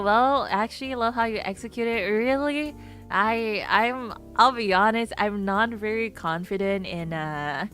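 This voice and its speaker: A woman streamer chatting casually with a low voice, giggling often and mixing in Japanese phrases. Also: a bit bright. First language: not Japanese. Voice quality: lower voice, prefers low register. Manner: chatty, giggly, switches between English and Japanese. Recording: faint music behind.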